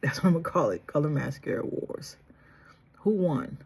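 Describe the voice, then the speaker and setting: A woman vlogger chatting casually through a makeup tutorial, soft-spoken and trying to project with a sore, strained throat. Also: deep voice, animated, normal speed, slightly rough. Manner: chatty, addresses viewers directly, trails off and restarts. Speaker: beauty vlogger.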